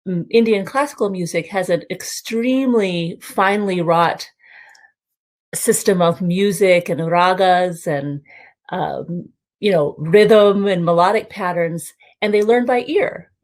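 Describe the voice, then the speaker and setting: Low-voiced hesitant woman teacher. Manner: measured, hesitant, with pauses and fillers. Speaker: music teacher. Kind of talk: panel discussion.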